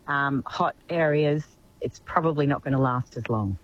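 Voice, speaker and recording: An Australian woman with a slightly croaky voice, giving expert veterinary advice as a guest in a radio interview. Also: extremely low pitch, moderate pace, highs cut off.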